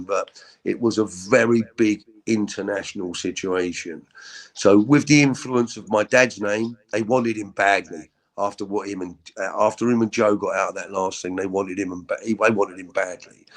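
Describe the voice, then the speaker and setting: Man, deep low voice, middle-aged, halting candid storytelling, repeats words. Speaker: interview guest.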